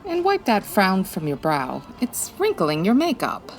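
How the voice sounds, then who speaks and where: A woman's voice: medium pitch, huge pitch swings, measured pace. A woman playing a classy, wry female private eye in a 1940s radio detective style, breezy and full of quips. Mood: wry, dismissive.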